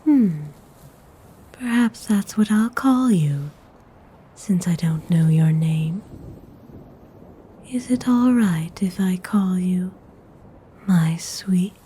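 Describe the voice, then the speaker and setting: A woman playing a courteous, commanding vampire noblewoman in an ASMR roleplay, speaking in a soft, mostly whispered voice with genteel, formal phrasing. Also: on the low side, expressive, slight background noise. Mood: tender, admiring. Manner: genteel, unhurried, formal wording.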